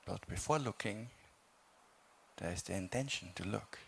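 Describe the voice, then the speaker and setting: A man teaching a guided meditation, speaking in calm, measured phrases with long pauses between them. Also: lowish voice, gravelly, slight background noise. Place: meditation hall.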